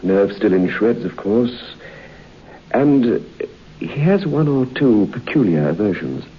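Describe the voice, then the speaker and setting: A man in a vintage radio drama telling a grim story in a grave, measured way. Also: lowish voice, big pitch swings. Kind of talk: 1950s radio drama acting. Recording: dull old radio broadcast with hiss.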